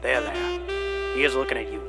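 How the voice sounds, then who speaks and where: A man voicing Gil, an excitable, dramatic male skeleton stage actor in a storybook reading. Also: medium pitch, normal speed, over loud music, voice pushed up, lightly acted. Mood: hard-boiled. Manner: gushing, dramatic, slips into hard-boiled tough-guy drawl. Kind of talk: storybook character voice.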